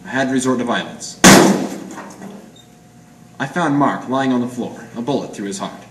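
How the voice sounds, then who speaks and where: An amateur actor playing a hard-boiled 1940s private eye in a radio noir, clipped, deadpan and slangy. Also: male voice, medium pitch, normal speed, close to an everyday voice. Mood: grim narrating. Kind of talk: 1940s radio noir acting with first-person narration. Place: live presentation in a roomy gallery. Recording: echoey room, distant mic, faint noise.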